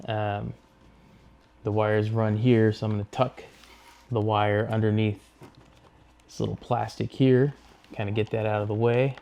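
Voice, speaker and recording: A man's voice: lowish voice, flat delivery, creaky, warm tone, muffled recording. A man narrating a hands-on install tutorial, talking casually and without hurry through each step as he works. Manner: unhurried, talks through each step while working with his hands. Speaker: host of a motorcycle gear install video.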